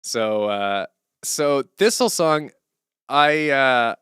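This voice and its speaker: A man chatting casually and unscripted as a streamer and songwriter, animated and rambling as he thinks aloud and interrupts himself. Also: medium pitch, takes it slowly, smooth, dry recording, clean studio sound. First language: English as main language, German heard at home.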